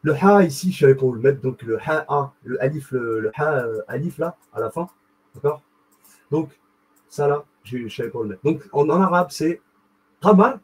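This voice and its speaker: A young man with a husky voice, talking casually and excitedly to his viewers in a rambling, slangy way. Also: fairly high pitch, moderate pace, low-quality recording. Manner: rambling, animated, slangy, addresses viewer directly. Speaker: video host. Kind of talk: casual explanatory monologue.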